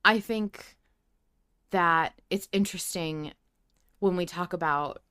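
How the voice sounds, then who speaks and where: A woman's voice: lowish voice, slow, pushed and bright, smooth. A woman co-hosting a podcast, talking casually and emphatically as she shares her opinions as a fan. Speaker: podcast co-host. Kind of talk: casual fan discussion of an audio drama.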